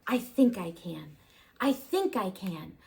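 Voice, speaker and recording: A woman voicing a kind, determined little female blue engine in a storybook, speaking gently. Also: medium pitch, sing-song, takes it slowly, vocal fry, voice pushed down, full character voice. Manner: gentle and kind, rising with resolve.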